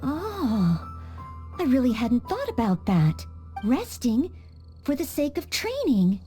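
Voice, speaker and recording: An actress voicing an earnest, polite young maid training to be a knight in a game cutscene, with small hesitant stammers. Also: female voice, sits high, huge pitch swings, slow pace, mellow, faint music behind, full character voice. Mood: eager. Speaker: game character.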